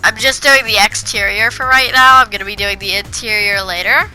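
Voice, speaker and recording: A woman's voice: medium pitch, brisk, pushed and bright, faint music behind, poor audio. A woman with a cold, sounding congested, chatting casually over her gameplay and rambling with frequent restarts. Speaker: gaming video creator.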